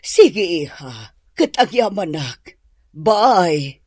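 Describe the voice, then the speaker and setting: A woman's voice: on the low side, very animated, extremely slow, darkish tone, husky, no echo, studio quality, heavily acted. A woman playing a stern, nagging middle-aged mother in a radio drama, with an Ilocano Filipino accent. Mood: gentle.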